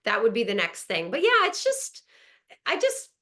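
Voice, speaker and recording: Bright, chatty actress from Montreal. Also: female voice, on the high side, huge pitch swings, normal speed. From Montreal, Canada. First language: French. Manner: chatty, upbeat, laughs often. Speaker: actress guest. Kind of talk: casual interview chat. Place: home in Los Angeles.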